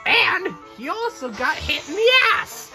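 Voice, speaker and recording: A man voicing a cheeky, gossiping little boy in a storybook parody, excited and mocking. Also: very high voice, measured pace, soft background music, pitched above the performer's own voice, heavily acted. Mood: gleeful mockery.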